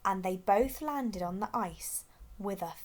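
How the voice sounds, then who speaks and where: A woman's voice: medium pitch, unhurried. A woman reading a children's storybook aloud expressively as a storyteller.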